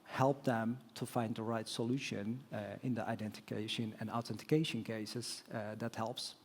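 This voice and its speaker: Halting man answering on a conference panel. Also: lowish voice, flat delivery, a little hiss or hum. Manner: halting, many fillers and restarts, non-native phrasing. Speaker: telecom executive guest on panel. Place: conference stage.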